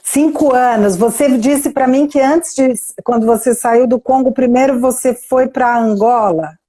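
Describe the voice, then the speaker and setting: A woman's voice: sits high, unhurried, mellow. A woman with a Brazilian Portuguese accent hosting a live interview over a video call, effusive and chatty, tagging sentences with questions. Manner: effusive, chatty, many "né?" tags. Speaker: live-stream host and interviewer. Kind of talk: warm conversational interview.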